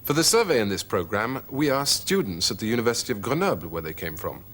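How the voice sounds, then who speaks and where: A man narrating a language lesson, repeating phrases slowly and clearly. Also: mid-range voice, sing-song, fairly bright tone. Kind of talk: scripted teaching narration.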